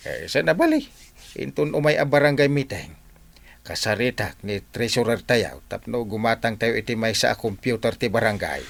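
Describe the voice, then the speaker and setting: A man's voice: medium pitch, lively intonation, normal speed, gravelly. A man with an Ilocano accent playing a flustered, defensive village captain and husband in a comedy radio drama. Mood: coaxing, businesslike.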